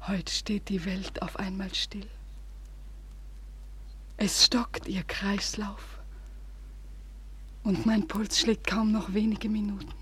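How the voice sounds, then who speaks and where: An actress playing a despairing young woman in a 1940s German radio drama, with slow, breathy, solemn declamation on a noisy old recording. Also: mid-range voice, level tone, a bit bright, heavily acted. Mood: tender, resigned. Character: despairing young woman bidding farewell before suicide.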